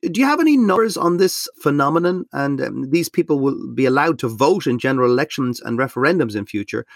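A man's voice: medium pitch, no room sound, clean studio sound. A man hosting an interview, putting long, inquisitive questions to his guests. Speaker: host.

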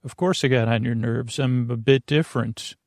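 A man with a creaky voice hosting a bedtime podcast, rambling in a silly, meandering way that trails off and circles back. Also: medium pitch, steady tone, moderate pace, darkish tone, no echo, studio quality. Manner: silly, goofy. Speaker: podcast host.

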